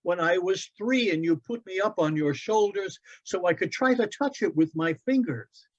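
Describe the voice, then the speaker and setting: A man's voice: high voice, moderate pace, voice pushed up. A man voicing an eager, curious young boy who talks with wonder to his father, in a storybook reading. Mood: fond recollection. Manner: bright, wondering, excitable. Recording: dull, lo-fi online event audio.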